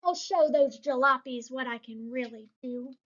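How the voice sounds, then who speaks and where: A woman plays a cocky little monster truck, a young boy with a very high voice and a stubborn streak. He is exclamatory and playful, straight out of a picture book, on a slightly muffled recording. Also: normal speed, a bit bright. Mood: defiant. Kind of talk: children's picture book read-aloud.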